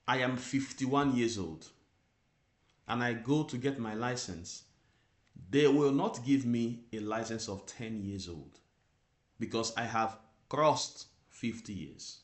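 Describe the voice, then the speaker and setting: A man teaching driving theory, reading out Italian rules and explaining them slowly in English with lots of repetition. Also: mid-range voice, reverberant. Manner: reads Italian text then explains it in English, repeating terms. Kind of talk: slow explanatory lesson.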